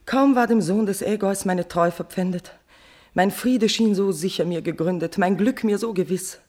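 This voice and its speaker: Woman acting a tormented queen in old verse radio tragedy, declaims big, guilty and passionate, hushed to full cry. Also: medium pitch, normal speed, lightly acted. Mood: guilty despair. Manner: declamatory, swinging from whispers to outbursts.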